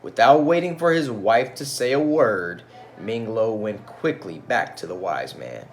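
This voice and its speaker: A man reading a children's story aloud in an expressive style with casual asides. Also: fairly low pitch, unhurried, a little hiss or hum. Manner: expressive, engaging listeners with questions. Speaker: storybook reader.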